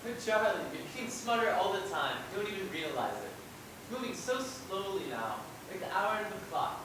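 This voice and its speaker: A man's voice: big pitch swings, fairly quick. A man playing an earnest, patient scientist, formal and explanatory, in an amateur student stage play. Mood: assured, explaining. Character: earnest, instructive male scientist, patient and assured. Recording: echoey stage, distant mic, noisy rumble.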